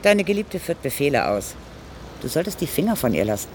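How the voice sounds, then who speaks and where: A woman's voice: low-pitched, very animated, a little fast, darkish tone, a little hiss or hum. An actress playing a cold, severe middle-aged Spanish communist mother and hard-line militant in a radio play. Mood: cold, stern.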